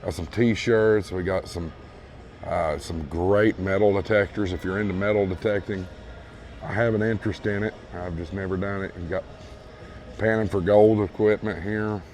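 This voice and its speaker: A hoarse man losing his voice, casually walking viewers through a large store and calling them folks. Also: low-pitched, steady tone, moderate pace, a little mellow, slight background noise. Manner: easygoing, repeats praise, addresses viewers as folks. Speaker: vlog host touring a store. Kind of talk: casual walkthrough narration. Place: large retail store indoors. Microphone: handheld phone camera.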